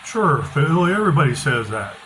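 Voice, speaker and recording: A middle-aged author talking casually with a loud, bass-heavy voice, blunt and opinionated, often repeating himself. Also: male voice, sing-song, a little fast. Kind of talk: casual monologue. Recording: noisy background hiss.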